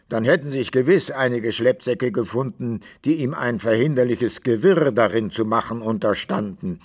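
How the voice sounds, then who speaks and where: A man narrating a classic literary tale for a radio play in scripted, old-fashioned prose. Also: medium pitch.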